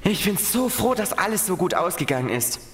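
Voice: mid-range voice, very animated, fairly quick, fairly bright tone, reverberant. An actor playing a confident, reassuring young detective who leads the group in a children's radio play. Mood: thoughtful.

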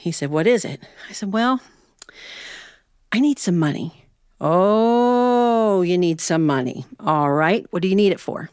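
A woman's voice: on the low side, animated, measured pace, a little mellow, clean tone, dry recording, studio quality. A middle-aged American woman, a storyteller telling a personal tale live to an audience. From Ohio, United States.

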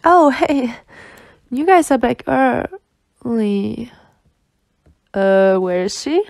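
Protective twin sister for ASMR roleplay, played by a woman: sweary, exasperated yet caring, unhurried, fairly high voice. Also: expressive, vocal fry, darkish tone, no echo, studio quality, full character voice. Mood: puzzled. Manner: sweary, sighing, half-muttering. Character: protective, exasperated, foul-mouthed twin sister, tender toward her girlfriend. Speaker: roleplay voice actor.

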